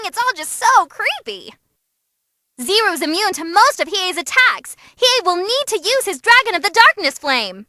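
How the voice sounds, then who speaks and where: Squeaky, excitable young demon girl announcer. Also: no echo, studio quality, full character voice. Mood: uneasy. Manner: bubbly, cheeky, dramatic. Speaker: fight commentator. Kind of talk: animated fight commentary.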